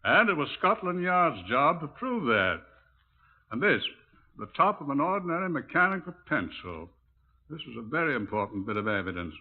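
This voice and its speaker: A man's voice: fairly high pitch, big pitch swings, normal speed. A man playing a formal British chief inspector who explains things precisely, in 1950s radio drama style. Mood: formal, instructive. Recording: muffled narrowband vintage radio recording.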